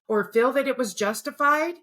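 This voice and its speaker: A woman co-hosting a casual podcast, her voice bright and cutting. She builds her points through repeated questions and lists. She swears bluntly and speaks with heated conviction. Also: sits high, normal speed. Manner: impassioned, ranting, with blunt swearing. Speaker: podcast co-host.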